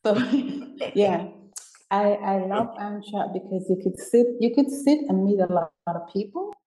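A woman's voice: mid-range voice, measured pace, mellow, roomy sound, poor audio. A woman born in Jamaica, speaking earnestly as an interview guest. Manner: earnest, repeats herself, fillers like "you know". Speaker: guest, women's mentor. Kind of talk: conversational interview.

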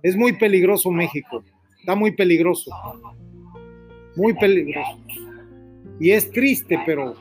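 A man's voice: sits high, unhurried, long pauses, soft background music. A middle-aged man with a Mexican accent, hosting live call-in radio and talking in an earnest, rambling way that repeats itself. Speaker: radio host.

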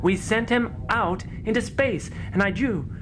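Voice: medium pitch, unhurried, over music, voice pushed up, close to an everyday voice. A performer voicing a melodramatic, self-important space captain in an improvised radio parody, overwrought and repetitive. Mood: pleading, pompous.

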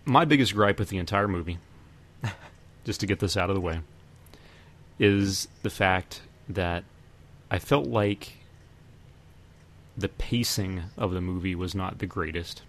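A man hosting a podcast, casually discussing films and giving his opinions in a measured, hesitant way. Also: low voice, fairly bright tone. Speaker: podcast host.

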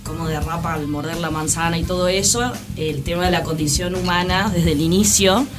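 Lowish-voiced Argentine woman chatting on radio. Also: fairly even intonation, brisk. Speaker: guest co-host. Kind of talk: casual radio chat. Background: background music.

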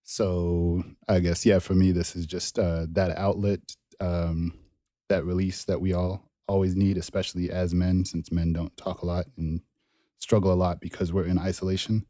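A young man from Zimbabwe co-hosting a podcast, talking in a relaxed, rambling way and laughing easily. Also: low voice, normal speed, no echo, studio quality. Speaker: podcast co-host. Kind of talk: casual chat.

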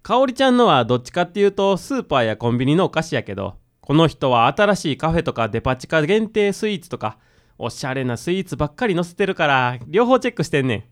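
A woman's voice: low-pitched, sing-song, fast talker. A woman playing a cocky, casual odd-job man with a Kansai accent in a comic radio drama. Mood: enthusiastic. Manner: casual, cocky, rough masculine speech. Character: brash, boastful odd-job man who loves sweets.

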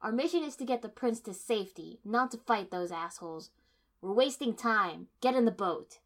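A brash young ninja, loud and fed up, pushing his voice high and hard, as a woman puts him on. He argues bluntly in a bright, sharp tone, like a scene from an audiobook of a fan story. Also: expressive, moderate pace. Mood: angry. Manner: loud, blunt, exasperated shouting.

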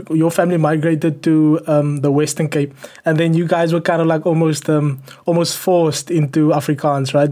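Casting: conversational man from South Africa, voice a touch high, relaxed discussion lead. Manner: conversational, tag questions, many fillers. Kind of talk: casual chat.

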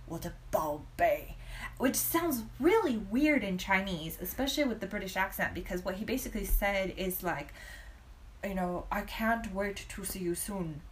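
A woman chatting casually on a podcast, at an ordinary mid pitch. She tells stories with animated bursts and laughs often, over a faint hiss of background noise. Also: normal speed, low-quality recording. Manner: giggly, animated storytelling with frequent laughs. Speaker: podcast co-host. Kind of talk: casual chat.

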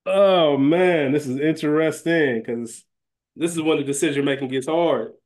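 Higher-voiced American man, casual podcast co-host. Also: normal speed, a little mellow. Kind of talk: casual chat, fantasy draft banter.

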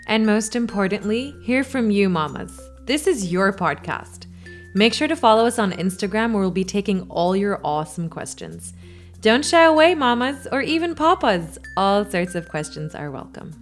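A woman's voice: medium pitch, expressive, moderate pace, soft background music. A woman co-hosting a podcast, effusive and upbeat as she addresses listeners and guests. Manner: effusive, enthusiastic. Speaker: podcast co-host.

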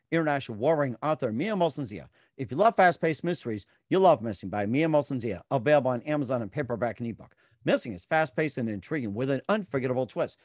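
A man's voice: mid-range voice, darkish tone, dry recording, clean studio sound. A man hosting a podcast interview, reading a sponsor ad and then asking questions with many fillers. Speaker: podcast host. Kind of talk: interview with ad read.

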